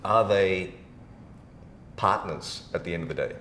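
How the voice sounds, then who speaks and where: A man with a low, deep voice hosts a chatty, informal interview. His questions come in a matey, casual style, with noticeable room echo and a faint rumble behind him. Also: unhurried, a bit bright. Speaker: podcast host, interviewer. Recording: roomy echo, background rumble noise.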